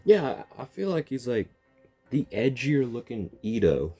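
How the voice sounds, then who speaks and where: Animated, mellow male game streamer. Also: mid-range voice, a little slow, faint music behind. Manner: joking, exclaiming, slangy. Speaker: gaming streamer. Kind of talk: casual game reaction commentary.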